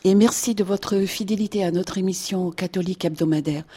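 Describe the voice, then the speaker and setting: Female radio interviewer with a dark, slightly low voice and a husky grain. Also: steady tone, a little fast.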